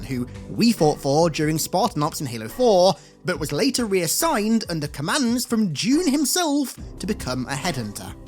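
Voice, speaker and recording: A male host gives energetic scripted commentary on a video game's story. His pitch moves around a lot, keeping the delivery animated. Faint music plays underneath his voice. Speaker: YouTube host recapping video game story.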